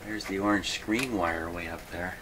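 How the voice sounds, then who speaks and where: A man thinking aloud in an unscripted workbench video, halting and puzzled, muttering to himself in a self-deprecating way. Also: sits low. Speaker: host of a radio repair video. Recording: clearly noisy hobby video recording.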